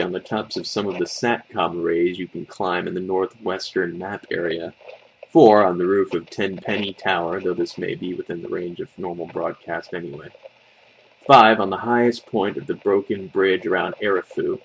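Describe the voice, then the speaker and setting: A man's voice: deep voice, creaky, a little hiss or hum, rough recording. A man reading a scripted creepypasta as a horror story narrator.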